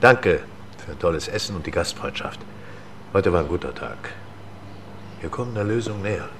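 An actor playing a focused investigator in a German radio drama, speaking tersely and directly. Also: male voice, low-pitched, lively intonation, normal speed, fairly bright tone, a little hiss or hum. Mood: matter-of-fact. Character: terse, focused male investigator, steadily probing. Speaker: investigator questioning a witness. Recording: studio radio play with music.

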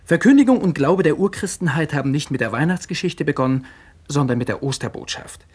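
An actor playing an earnest, critical young son with a husky voice, lecturing insistently and articulately in a radio play. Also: male voice, a little high, lively intonation, brisk, darkish tone. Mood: earnest arguing. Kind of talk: 1960s German radio play acting.

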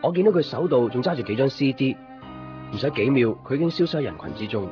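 An actor playing a wistful young shopkeeper, narrating a radio drama in Hong Kong Cantonese in a reflective, measured way. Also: male voice, mid-range voice, lively intonation, natural voice. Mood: quietly hopeful. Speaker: narrator and lead character. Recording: muffled 1990s radio drama recording. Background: guitar music.

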